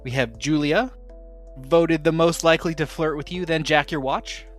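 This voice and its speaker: A man's voice: a little high, steady tone, normal speed, faint music behind. A man casually hosting and running an actual-play tabletop game podcast, with a playful, jokey manner. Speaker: podcast host and game master.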